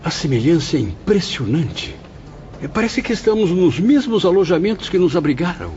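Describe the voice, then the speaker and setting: A man's voice: on the high side, very animated, normal speed, darkish tone, a little hiss or hum. A man with a Brazilian accent playing a reflective spirit who solemnly narrates his afterlife memoirs in literary language. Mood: surprised. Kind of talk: scripted dramatized narration. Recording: dull audio under prominent music.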